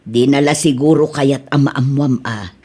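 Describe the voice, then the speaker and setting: A woman playing a calm, tactful older aunt with a husky voice in an Ilocano-accented radio drama. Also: extremely low pitch, normal speed. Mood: calm, confiding.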